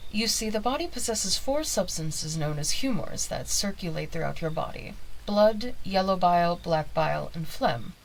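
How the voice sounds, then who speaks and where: A woman playing a quirky, scholarly plague doctor in an ASMR examination roleplay, speaking softly in formal, polite, wordy phrases. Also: low voice, creaky, slight background noise, poor audio.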